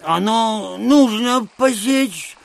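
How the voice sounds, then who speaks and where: A man's voice: high-pitched, very slow, dry recording, heavily acted. An actor playing a drunken, stubbornly submissive peasant coachman, rambling and stammering in an audio drama. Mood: meekly rambling. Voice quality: creaky. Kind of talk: Russian audio drama acting.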